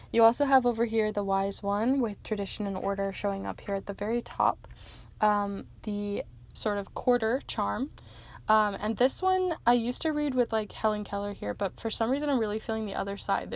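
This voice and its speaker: A young woman reading pick-a-card charms and tarot for viewers, talking gently and meandering with frequent ums, her voice going hoarse. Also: medium pitch. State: losing her voice.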